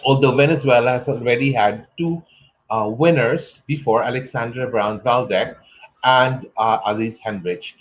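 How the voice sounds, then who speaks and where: A man's voice: medium pitch, a little hiss or hum, poor audio. A middle-aged man hosting an online interview show over a video call, effusive and full of exclamations. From Philippines. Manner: gushing, effusive, exclaims a lot. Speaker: talk show host. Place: home room with shelves. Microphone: earphone headset mic on video call.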